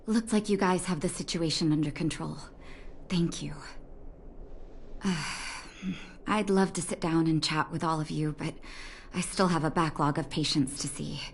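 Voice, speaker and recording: A woman voicing a caring, composed clinic doctor with a gentle, polite manner in a video game. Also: low-pitched, fairly even intonation, moderate pace, vocal fry, slight background noise. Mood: gracious, busy. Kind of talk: voiced game story dialogue.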